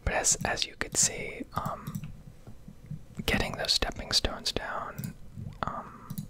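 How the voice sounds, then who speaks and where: A woman doing ASMR commentary over gameplay, rambling and explaining in a whisper at a close microphone. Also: slow. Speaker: gaming video creator.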